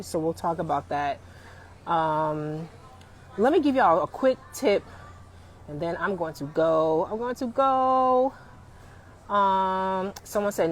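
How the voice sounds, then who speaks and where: A woman in her forties giving career advice on a live stream, emphatic and animated, often calling out to viewers and laughing. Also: fairly low pitch, a little hiss or hum. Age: 40s.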